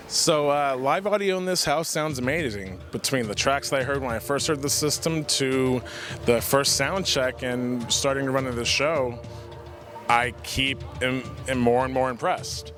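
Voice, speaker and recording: A man's voice: mid-range voice, moderate pace. A man who works as a theater technical director, giving an earnest, informal, explanatory testimonial in an interview. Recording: music playing behind the voice. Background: background music.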